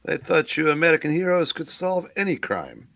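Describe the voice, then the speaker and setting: A man's voice: fairly high pitch, very animated, moderate pace, vocal fry, a bit bright, no room sound. A man reading comic-book dialogue aloud in a casual amateur style.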